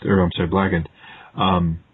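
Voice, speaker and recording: Very deep, husky interviewer on the phone. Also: male voice, flat delivery, normal speed. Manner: casual, lots of short agreeing replies. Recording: tinny phone line.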